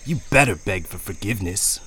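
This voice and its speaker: A man's voice: fairly low pitch, expressive, brisk, sharp tone, a little hiss or hum, pitched below the performer's own voice. A man voicing a sneering male fox cat who sounds threatening.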